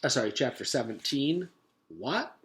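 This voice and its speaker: A man's voice: medium pitch, sing-song, moderate pace, a bit bright, a little hiss or hum. Man narrating a children's storybook. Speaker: teacher reading aloud to pupils.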